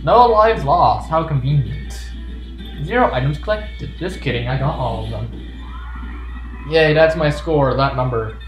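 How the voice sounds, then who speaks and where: A man's voice: a little high, animated, measured pace, echoey room, poor audio. A man giving casual video game commentary with jokey, playfully sarcastic asides. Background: video game music.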